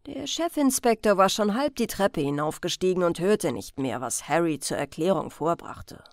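A woman's voice: lowish voice, lively intonation, normal speed, no echo, studio quality. A woman narrating a crime audiobook as a scripted reading.

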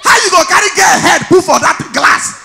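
A man in his thirties with a Nigerian accent, performing stand-up on stage with a handheld mic, telling stories loudly and shouting his punchlines. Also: very high-pitched, moderate pace, gravelly. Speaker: stand-up comedian. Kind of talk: stand-up comedy in Pidgin. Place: large indoor event hall on stage.

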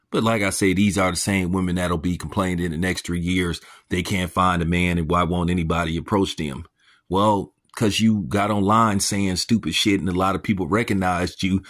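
Host, male, very low voice, blunt mocking rant, crude commentary. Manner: pitch jumps up when incredulous. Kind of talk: ranting commentary monologue.

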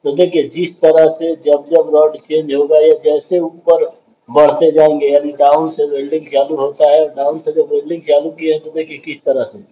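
A man's voice: fairly high pitch, lo-fi sound. A man hosting a welding channel, explaining a pipe weld step by step and often calling viewers "friends". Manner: repeats "dekhiye" and "doston" often, explanatory. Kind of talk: explaining welding over filmed work.

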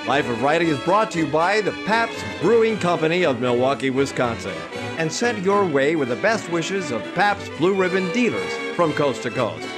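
A man playing an upbeat 1950s radio announcer, reading scripted sponsor copy and credits in a smooth selling style. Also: fairly high pitch, sing-song, normal speed, music in the background. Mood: formal, cheerful.

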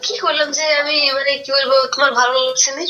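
A woman's voice: high voice, steady tone, quick pace, a crowd in the background. A young woman, protesting defensively on a phone call and repeating herself as she stumbles over words. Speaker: prank call recipient.

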